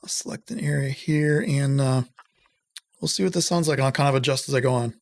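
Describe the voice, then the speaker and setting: A middle-aged man hosting a casual home-studio tutorial, rambling and self-deprecating, with lots of fillers and a voice he says has gotten higher. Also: fairly quick, gravelly, darkish tone. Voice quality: higher voice than it used to be. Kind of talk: casual screen-recorded audio tutorial. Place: small home room with guitars.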